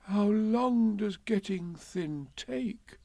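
Storybook toy bear: slow, hesitant, self-pitying, voice swooping up into plaintive worry. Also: male voice, mid-range voice, expressive, gravelly, mellow, no echo, exaggerated voice. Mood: anxious, gloomy. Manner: hesitant, plaintive, drawn-out delivery.

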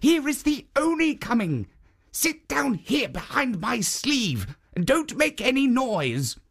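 A storyteller voicing a gentle stone guardian spirit who gives earnest, careful warnings in a raised voice. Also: mid-range voice, big pitch swings, slow pace, breathy edge, voice pushed up, heavily acted. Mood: protective. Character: kindly, protective stone statue spirit.

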